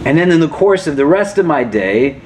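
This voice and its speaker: A man's voice: fairly high pitch, big pitch swings, normal speed, slight background noise. A man, an imam leading a casual religious class, asking questions and often checking in with "do you know?". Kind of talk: conversational religious lesson.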